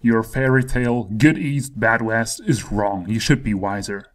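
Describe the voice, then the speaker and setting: A man's voice: on the low side, measured pace, lightly acted. A man playing a stern, self-righteous American president in satirical rhymed dialogue, speaking in an oratorical, diplomatic way. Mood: disapproving.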